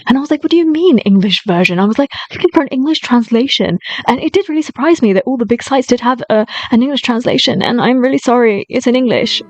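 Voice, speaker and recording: A woman's voice: a little high, animated, fast talker, few pauses, no room sound. Chatty woman hosting a reaction video. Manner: chatty, eager and apologetic. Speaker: reaction channel host. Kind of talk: casual reaction commentary.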